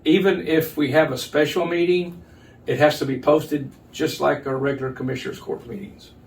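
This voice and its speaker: An older man, an official being interviewed, explaining things in a measured, halting, plain-spoken way. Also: a little high, steady tone. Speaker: county judge, interview guest. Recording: distant mic, noticeable background noise.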